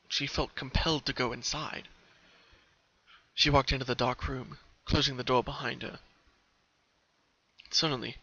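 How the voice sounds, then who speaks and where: A man's voice: mid-range voice, bright, forceful tone. A man reading a story aloud as a dramatic, scripted narration, on a slightly lo-fi home recording.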